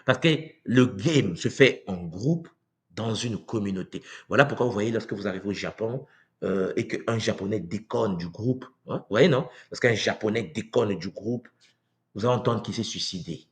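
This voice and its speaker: A man from Congo giving a live talk to viewers, animated and rhetorical, often asking "n'est-ce pas?" and acting out quoted voices. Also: fairly low pitch, normal speed. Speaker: speaker on spiritual tradition. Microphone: livestream mic.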